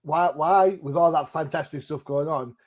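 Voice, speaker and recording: A man's voice: fairly high pitch, normal speed, highs cut off. A man hosting a podcast interview, asking questions in a laid-back way with chuckling interjections. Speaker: podcast host. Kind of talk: casual interview chat.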